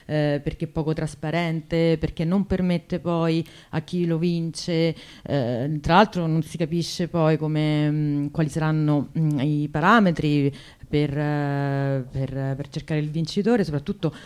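A woman guest explains a protest in a radio interview. Her voice is very low and clear, and she searches for words as she goes. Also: steady tone, measured pace, hardly any pauses, darkish tone.

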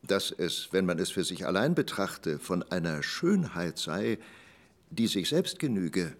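A man narrating a literary radio drama in a measured, deliberate storytelling manner. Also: low voice, very animated.

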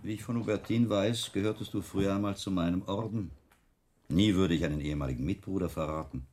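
An actor playing a shrewd, authoritative middle-aged monk who questions people in a measured, pointed way in a radio drama. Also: male voice, sits low, a little mellow, a little hiss or hum, close to an everyday voice. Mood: calm, veiled threat.

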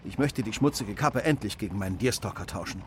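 An actor playing an incisive, self-assured detective in a German radio drama, eloquent and commanding, moving between hushed and emphatic delivery. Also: male voice, lowish voice, fast talker, a little hiss or hum, natural voice. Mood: brisk, pleased.

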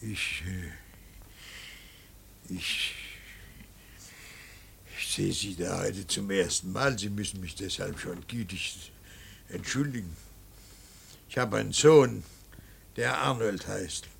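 An actor playing a stern, devout older painter and father in a radio play, halting and brooding, then preaching with emphasis. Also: deep voice, a bit bright, slight background noise, full character voice. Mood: guarded. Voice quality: gravelly, husky. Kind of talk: German radio play acting.

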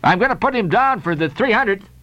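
A man's voice: sits high, lively intonation, fast talker, bright, forceful tone, dry recording, voice pushed up. A man voicing a hot-tempered old sea captain who blusters in exclamatory, archaic speech. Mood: indignant.

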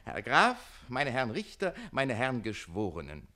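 An actor playing a cocky, quick-witted young man defending himself in a comic radio play, indignant and theatrical. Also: medium pitch, huge pitch swings, sharp tone. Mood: theatrical pleading. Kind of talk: German radio play comedy acting.

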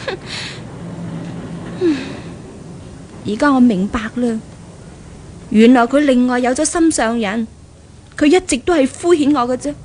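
A woman's voice: high voice, moderate pace, a little mellow, lightly acted. A woman with a Hong Kong Cantonese accent playing an impulsive, jealous young woman spurned in love, thinking aloud in exclamations in a 1970s radio drama. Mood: shocked, indignant. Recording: noisy old radio drama recording.

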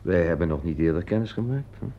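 An actor playing a courteous theatrical agent in a radio play, speaking politely and formally. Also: male voice, deep voice, lively intonation, quick pace. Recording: dull old radio play recording.

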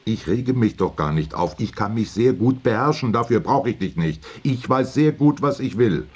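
An actor in a German radio drama playing a proud, surly factory worker husband who talks in terse, defensive bursts. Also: male voice, deep voice, unhurried, darkish tone. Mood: irritated, defensive.

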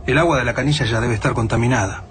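An actor playing a fatalistic, despairing survivor in a radio drama, anxious and defeatist. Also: male voice, mid-range voice, racing, fairly bright tone, a little hiss or hum, close to an everyday voice.

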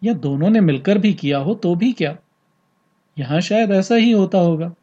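Male reader as storybook husband, exasperated, dismissive, emphatic, husky, fairly high, lo-fi sound. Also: lively intonation, normal speed, warm tone. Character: exasperated husband chiding his overthinking wife. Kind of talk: storybook dialogue reading. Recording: slightly dull, lo-fi podcast audio.

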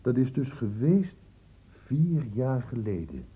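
An actor playing a stern, probing doctor in a Dutch radio drama, with measured, clinically precise questioning. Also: male voice, medium pitch, very animated, slightly rough, full character voice. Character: probing, stern male doctor, calmly cornering a suspect. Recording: muffled phone-like old radio recording.

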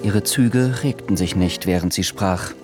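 A very deep male voice narrating a radio play at a measured, calm pace. Faint music runs beneath the narration.

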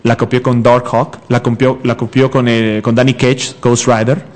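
A man's voice: mid-range voice, flat delivery, normal speed. A man of twenty-five in a heated, casual debate as a comics show host, emphatic and argumentative, often repeating himself and cutting in.

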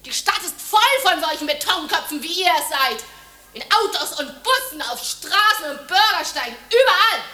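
Radio drama lead: desperate, bitter woman out of work, high-pitched and piercing, theatrical delivery. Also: expressive, normal speed, pushed and bright, full character voice. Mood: furious. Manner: theatrical, swinging from shrill ranting to breathy murmuring. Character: lonely, jobless woman, bitter, furious and despairing. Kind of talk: German radio drama monologue.

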